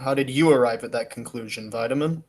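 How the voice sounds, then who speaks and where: A man's voice: medium pitch, fast, close to an everyday voice. A man playing an earnest, puzzled detective hero in the style of a 1940s radio detective play. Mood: probing.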